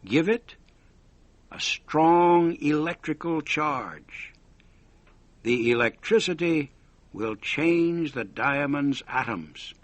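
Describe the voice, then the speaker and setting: A man voicing the solemn spirit of a long-dead inventor, giving deliberate, partly hushed instructions in a story. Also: on the high side, animated, no echo.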